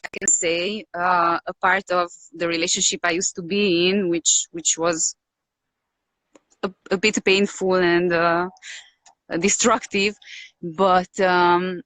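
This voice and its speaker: A woman from Romania with a Hungarian accent, speaking as a guest in an interview, reflective and hesitant, pausing often to find her words. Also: medium pitch, fairly bright tone, no room sound, low-quality recording. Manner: hesitant, searching for words with many pauses and fillers. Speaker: podcast guest.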